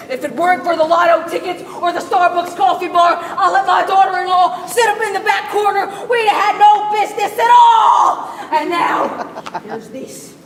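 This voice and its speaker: A woman playing an agitated older male button-store owner and retired cop, ranting and shouting at the crowd. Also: very high voice. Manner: ranting, shouting, laughing, then sheepish. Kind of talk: live stage comedy play. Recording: roomy hall from far off, audience noise.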